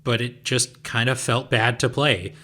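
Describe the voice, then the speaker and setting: A man co-hosting a podcast, talking casually about games in a relaxed, hedging way. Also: mid-range voice, a little slow. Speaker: podcast co-host. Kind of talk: casual chat.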